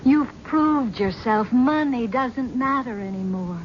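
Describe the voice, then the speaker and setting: A tender, pleading wife, higher voice, old radio play, dull hissy broadcast. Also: female voice, lively intonation, takes it slowly. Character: devoted, wounded wife, middle-aged. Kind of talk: 1970s radio drama acting.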